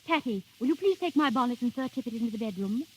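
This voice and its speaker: A prim, excitable gentlewoman from a period radio play , performed by an actress. Her voice is high and swoops with lively feeling, in a fluttery 1930s acting style. The old broadcast recording is clearly noisy. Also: quick pace, lightly acted. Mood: excited, genteel. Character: prim, excitable young English gentlewoman and her spinster sister.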